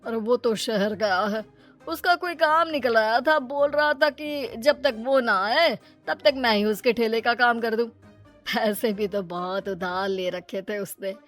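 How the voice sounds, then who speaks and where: A woman voicing a greedy, scheming middle-aged moneylender in an animated moral story, sly and brusque. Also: fairly high pitch, measured pace, faint music behind.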